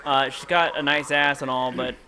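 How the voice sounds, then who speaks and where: A man's voice: mid-range voice, steady tone, moderate pace, sharp tone, slight background noise. A young man chatting casually on a game livestream, blunt and joking with frequent swearing. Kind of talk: casual chat over a game stream.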